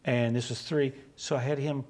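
A man in his sixties from West Virginia with a West Virginian accent, telling rambling stories in a relaxed interview on a small studio set, acting out the exchanges he quotes. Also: mid-range voice, normal speed. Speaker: guest, comic book writer. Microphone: lapel.

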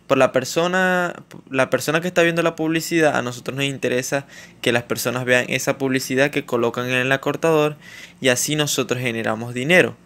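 Latin american guy explaining stuff over a screen recording, smooth clear voice, lots of ehh and restarts. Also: mid-range voice, fairly even intonation, a little fast, fairly bright tone. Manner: informal, hesitant, many fillers and restarts. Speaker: YouTuber explaining online earnings.

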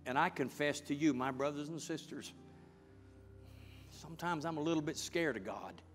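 Male pastor, middle-aged, high voice, fervent sermon and prayer, long church-hall reverb. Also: moderate pace. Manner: fervent, building to loud praying. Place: large church sanctuary with congregation. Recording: church hall with music behind.

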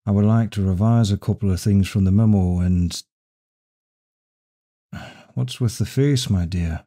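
Devoted CEO boyfriend played by a male actor, dark mellow tone, very slow and intimate ASMR roleplay. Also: fairly low pitch. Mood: calm, tender. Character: devoted, secretive male CEO romancing his secretary. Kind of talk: intimate ASMR roleplay acting, one side of a dialogue.

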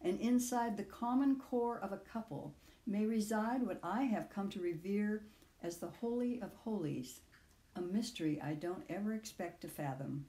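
An older woman in her sixties or beyond reads her own reflective personal essay aloud in a measured, literary way, with deliberate phrasing. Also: on the low side, mellow, reverberant. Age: 60s or older. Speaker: author reading own audio essay.

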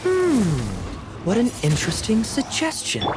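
An actor playing a suave young knight captain in a video game, speaking in a smooth, sly, politely theatrical way. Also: male voice, very high-pitched, wildly swooping pitch, measured pace, exaggerated voice. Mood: amused. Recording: music behind the voice.

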